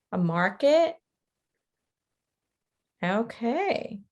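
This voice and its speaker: A woman presents an interactive online lecture in a conversational way, often checking in with her listeners. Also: on the high side. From Costa Rica. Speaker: webinar presenter, teacher educator.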